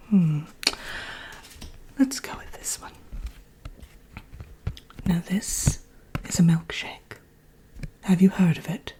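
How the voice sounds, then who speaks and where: Woman as a slow, whispering wicked queen. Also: low-pitched. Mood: musing, then genteel, instructive. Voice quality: soft, breathy whisper. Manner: genteel, unhurried, drily wicked. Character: haughty, wicked fairy-tale Evil Queen teaching recipes. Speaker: workshop presenter. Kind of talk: whispered ASMR roleplay.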